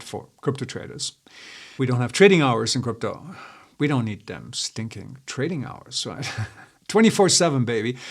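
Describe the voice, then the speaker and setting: A stuffy-nosed man walks through his charts in a loose, talkative way, thinking aloud as he goes. His voice sits at an ordinary middle pitch for a man, and he still sounds congested from a cold. He keeps the tone informal and unscripted, like a host talking straight to his regular viewers. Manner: chatty and informal, thinking aloud with small laughs. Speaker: host of a crypto market analysis channel. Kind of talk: casual screen-share market commentary.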